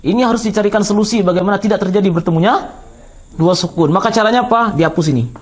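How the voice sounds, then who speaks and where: Minang indonesian guy teaching grammar, high voice, keeps asking the class questions. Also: fast talker. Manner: didactic, repeats and quizzes listeners. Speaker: Islamic teacher of Arabic grammar. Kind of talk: classroom lesson, explaining with questions to students.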